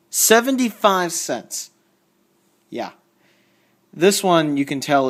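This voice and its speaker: A man talks in a medium-pitched voice, casually and without a script. He rambles a little, thinking aloud and reading labels out as a collector showing off finds would. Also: fairly bright tone. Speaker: record collector showing a haul. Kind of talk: casual unscripted show-and-tell commentary.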